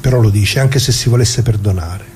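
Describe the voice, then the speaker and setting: An actor playing a dead retired working-class man, bitter and plain-spoken, addressing listeners in a halting, repetitive theatrical radio monologue. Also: on the low side, darkish tone, a little hiss or hum, lightly acted. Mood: grave, bitter. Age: older.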